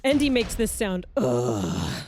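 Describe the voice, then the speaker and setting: A woman's voice: fairly low pitch, wildly swooping pitch, takes it slowly, a little mellow, a little hiss or hum. A woman playing a tabletop game, describing her character's moves loosely and hesitantly, with lots of "like" and "kind of". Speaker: player. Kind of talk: casual tabletop play.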